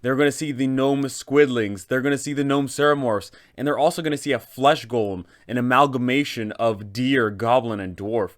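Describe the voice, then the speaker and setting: Man, medium pitch, crisp resonant voice, casual emphatic delivery, tabletop game explainer host. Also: normal speed, hardly any pauses. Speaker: host, game master advising other game masters.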